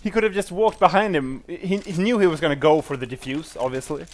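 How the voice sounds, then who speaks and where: A Swedish man speaking English as an esports analyst, relaxed and quick to laugh. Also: fairly high pitch. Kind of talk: casual analysis.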